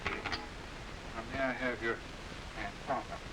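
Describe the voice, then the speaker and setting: An actor playing a quack doctor with measured, professional patter in a 1960s educational film dramatization. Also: male voice, mid-range voice, steady tone, natural voice. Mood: reassuring. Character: smooth-talking quack doctor reassuring his patient. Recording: distant, hissy 1960s film soundtrack.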